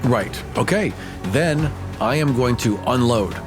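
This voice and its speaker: Clear music runs under a man's medium-pitched voice. He talks casually as a player in a tabletop roleplaying game, narrating his character's moves and reading out rolls. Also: expressive, slow. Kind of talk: casual game talk, describing moves and dice results.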